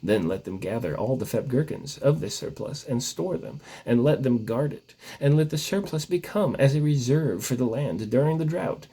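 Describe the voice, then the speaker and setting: A man with a husky voice reading a comic tale aloud in a mock-solemn, theatrical storytelling manner. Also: medium pitch, animated, normal speed, few pauses, mellow. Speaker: story reader.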